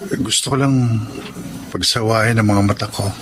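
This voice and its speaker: A man's voice: fairly low pitch, fairly even intonation, normal speed, background noise, natural voice. A Filipino man acting a devoted, wounded husband and father in a radio drama, earnest and heartfelt. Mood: wistful, loving. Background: music.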